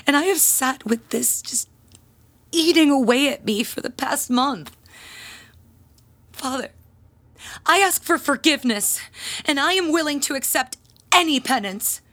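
A woman playing a proud, jealous parishioner and bell ringer in an audio drama, speaking in a pompous, theatrical, halting way. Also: high voice, expressive, a bit bright. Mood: guilty, dramatic.

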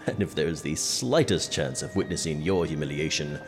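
A haughty, pompous medieval prince, speaks very low with dry sarcasm. His delivery moves expressively through a reverberant hall, faint music beneath, in audio drama style. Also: animated. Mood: wry. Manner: dry, sardonic, measured and pompous. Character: haughty, sardonic, jealous medieval English prince.

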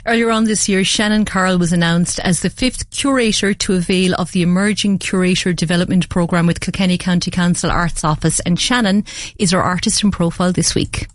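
A woman's voice: on the low side, steady tone, brisk, dry recording. An Irish woman presenting an arts radio show in a chatty, opinionated, conversational way. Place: radio studio.

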